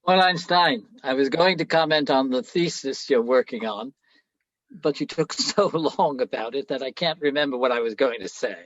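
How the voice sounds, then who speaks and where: An actor playing an older, self-absorbed philosophy professor in a radio play, lecturing pompously and haltingly. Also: male voice, mid-range voice, no room sound, muffled recording, natural voice. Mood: dismissive.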